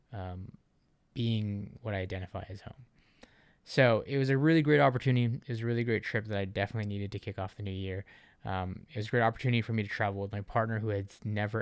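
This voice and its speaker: Low-voiced male vlogger from Japan. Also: creaky, smooth, no echo. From Japan, Okinawa. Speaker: vlogger, channel host. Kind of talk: casual vlog introduction talking to viewers.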